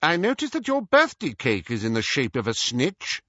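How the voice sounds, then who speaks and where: A man voicing a stern, suspicious older government minister in an audiobook, with measured, insistent questioning. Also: mid-range voice, huge pitch swings, a bit bright, no room sound, dull audio, pitched above the performer's own voice. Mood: probing, suspicious. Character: stern, probing older male government minister interrogating youngsters. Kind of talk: audiobook character voice.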